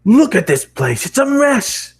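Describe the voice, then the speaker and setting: Man playing a bullying middle-aged boss, angry, loud, raised pitch, drawn-out barking, story character. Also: sing-song, slightly rough, exaggerated voice. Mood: furious. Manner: blustering, barking.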